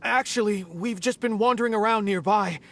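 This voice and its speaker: An actor playing a humbled small-time bandit leader in a video game, nervous, deferential, apologetic and eager. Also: male voice, high-pitched, normal speed, a bit bright, a little hiss or hum. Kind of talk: scripted game dialogue. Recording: studio voice over game music. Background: game background music.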